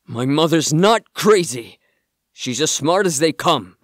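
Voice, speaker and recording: A man playing a bookish young misfit and loyal son in an audio drama, earnest and expressive. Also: fairly high pitch, slow pace, dry recording, clean studio sound. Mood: defensive. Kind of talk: amateur audio drama acting.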